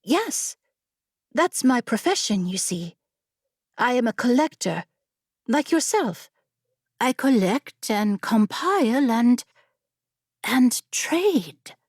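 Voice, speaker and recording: Woman playing an eager, high-voiced female scholar. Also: sing-song, slow, long pauses, breathy edge, no echo, studio quality, exaggerated voice. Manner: earnest, persuasive, eager. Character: quick-witted, bargaining female scholar and story collector. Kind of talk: fantasy audiobook character acting.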